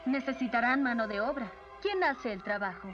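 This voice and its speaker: An actress as high-voiced young guard captain. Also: female voice, moderate pace, muffled recording, over music, lightly acted. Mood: curious. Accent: Latin American Spanish. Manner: polite but outspoken. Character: young, principled woman captain of royal guards. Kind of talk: dubbed animated series acting.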